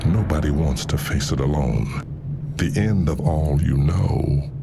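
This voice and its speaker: A man playing a weary, haunted alien shipmaster, narrating a motion comic in a solemn, deliberate, brooding manner. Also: very deep voice, creaky, very noisy, heavily acted. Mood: weary sorrow. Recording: loud music behind the voice. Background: loud music.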